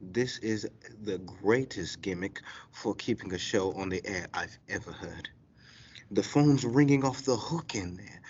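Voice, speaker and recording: A man playing an excitable, opportunistic radio show promoter in a comic play, with a husky voice. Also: fairly low pitch, a little slow, slight background noise, lightly acted. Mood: gleeful. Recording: slightly dull lo-fi recording.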